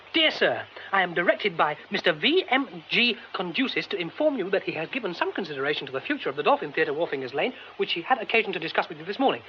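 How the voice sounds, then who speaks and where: An actor playing an excitable, romantic young British theatre man in a radio drama, exclamatory and effusive, often breaking off mid-thought. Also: high voice, quick pace. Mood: breathless excitement. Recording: dull, faintly noisy radio recording.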